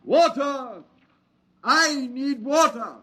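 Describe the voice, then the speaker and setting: An actor playing a gentle, eccentric old wandering preacher who speaks in solemn, sermon-like pronouncements, in 1950s radio drama style. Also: male voice, very high-pitched, lively intonation, extremely slow, full character voice. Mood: calling out, pleading. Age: older.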